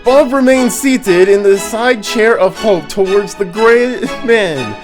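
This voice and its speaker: A man's voice: high voice, slow, music in the background. A man with a slightly congested voice giving a theatrical reading of his own comic prose, prone to breaking up. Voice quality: congested sinuses. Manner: theatrical, often breaking into stifled laughter. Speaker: author reading own writing. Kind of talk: dramatic reading of comedic prose.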